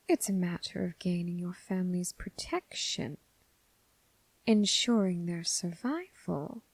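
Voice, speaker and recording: A woman acts out a predatory female mob boss for an ASMR roleplay. Her voice is low and creaky, with a husky breath to it. She speaks very slowly and deliberately, teasing with cool, purring condescension. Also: darkish tone, no echo, full character voice, rough recording. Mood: probing, amused. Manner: slow, deliberate, purring condescension with drawn-out pauses. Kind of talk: ASMR roleplay, scripted character acting.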